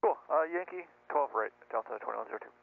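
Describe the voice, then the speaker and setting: A man working as an airline pilot, reading back clearances quickly with small fillers over the radio. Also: medium pitch, expressive. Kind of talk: radio readbacks. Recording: narrow radio channel with heavy static.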